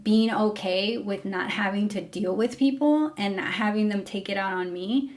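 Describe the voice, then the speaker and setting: A woman's voice: mid-range voice, normal speed, darkish tone. A young woman from Mexico talking candidly to camera, frank and full of fillers, about her time as a driver. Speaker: former rideshare driver. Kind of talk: casual storytelling to camera.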